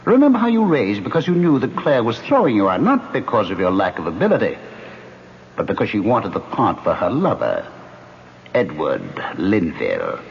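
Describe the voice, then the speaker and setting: A man's voice: on the low side, wildly swooping pitch, normal speed. An actor playing a taunting male ghost who haunts a man, in a mocking, insinuating radio drama style. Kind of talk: 1970s radio drama acting. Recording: dull old radio broadcast with faint hiss.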